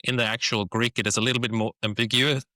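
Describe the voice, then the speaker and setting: A man's voice: medium pitch, steady tone, fast, pushed and bright, no room sound, clean studio sound. A man with a Danish accent, a podcast host whose first language is Danish, talking through ideas thoughtfully in a casual conversation. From Denmark. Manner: thoughtful, restarts phrases while searching for words.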